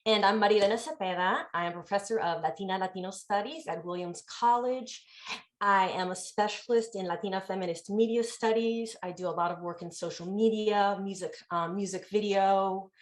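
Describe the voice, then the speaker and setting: A middle-aged woman introducing herself on a panel with chatty enthusiasm over a video call. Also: medium pitch. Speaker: panelist, professor.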